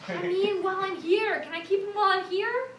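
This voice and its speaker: A woman's voice: extremely high pitch, steady tone, a little fast, fairly bright tone. A woman playing an eager, perky teenage girl in a staged reading of a cartoon script. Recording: echoey room, distant mic, faint noise.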